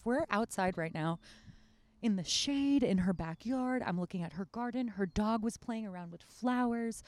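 A woman's voice: mid-range voice, a little mellow, no room sound. A woman talking casually as a podcast guest outdoors in a shaded backyard. She rambles with lots of "like" and restarts her sentences.

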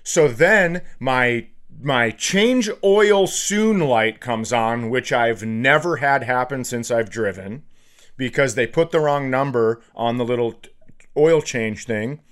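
A man's voice: medium pitch, takes it slowly, fairly bright tone. A middle-aged American man telling stories casually on a comedy podcast, profane and exasperated as he mocks himself. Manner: profane, exasperated self-mocking rants.

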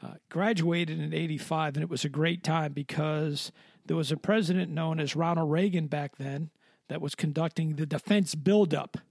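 A man in his fifties from Connecticut speaks with a gravelly voice, a little higher than most men. He answers interview questions in a steady, matter-of-fact way, and the recording is dry and close. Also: moderate pace, no echo. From Connecticut, USA. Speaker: interview guest.

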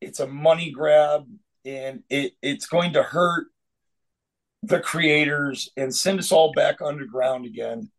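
A man's voice: on the high side, fairly even intonation, slow, gravelly. A man on a podcast roundtable, arguing his view with frequent restarts and fillers. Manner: opinionated, hesitant restarts. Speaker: roundtable panelist. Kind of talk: casual podcast discussion.

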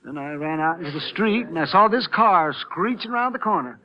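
Small-town druggist, high voice, sharp tone, garrulous, excitable, homespun, 1950s radio drama. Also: male voice, a little fast, rough recording. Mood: excited, insistent. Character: excitable, folksy small-town druggist, older married man. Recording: vintage 1950s radio broadcast recording.

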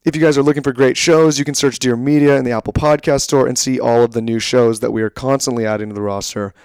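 A man speaking casually as a podcast co-host, introducing the show and chatting, with a slightly hoarse edge. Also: mid-range voice, fairly even intonation, fast, darkish tone, dry recording. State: a little bit hoarse.

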